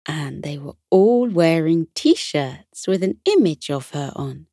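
A woman reads a children's bedtime story in a gentle, soothing way. Her voice sits a little low and she takes her time, with a very slow pace. The sound is close and dry, with no room echo. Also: expressive.

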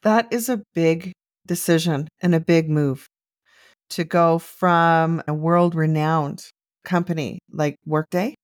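A woman's voice: sits low, fairly even intonation, slow, no echo, clean studio sound. A woman co-hosting a recruitment podcast, asking direct, friendly interview questions. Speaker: podcast co-host.

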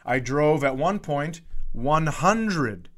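A man's voice: fairly high pitch, animated, slow, a bit bright. American man telling comic, exasperated stories. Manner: profane, exasperated ranting. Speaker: podcast host and comedian. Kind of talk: casual comedic storytelling.

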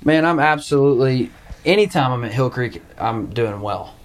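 A man's voice: mid-range voice, lively intonation, moderate pace. Joking, rambling podcast host man. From Arkansas. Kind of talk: casual chat.

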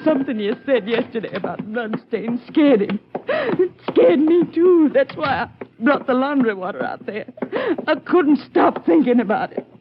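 High-pitched anguished country wife. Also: female voice. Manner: rustic ungrammatical speech, halting, anguished. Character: distraught guilt-ridden country wife confessing against her murderous husband. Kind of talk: 1950s radio drama acting. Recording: dull, hissy, clicky old radio recording.

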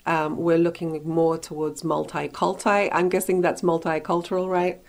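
A woman's voice: low voice, little pitch movement, moderate pace, gravelly. A woman hosting a conversational author interview, asking questions and commenting. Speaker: radio show host.

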